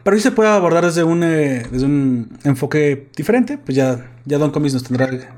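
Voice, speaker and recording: A man's voice: a little high, expressive, moderate pace, a little mellow. A man hosting a casual podcast chat. He jokes, laughs often and stumbles when reading aloud. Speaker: podcast host.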